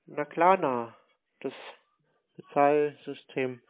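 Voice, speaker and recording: Deadpan man on a narrow phone line. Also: fairly high pitch, takes it slowly. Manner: deadpan, feigning naivety. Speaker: prank caller baiting scammers. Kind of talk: casual phone chat.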